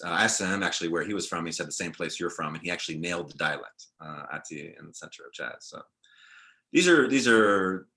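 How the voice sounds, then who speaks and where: Young guy on a video call, deep bright voice, loose and rambly with lots of likes. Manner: relaxed, reflective, many "like"s and restarts. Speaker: film director and panelist. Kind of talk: panel discussion over video call.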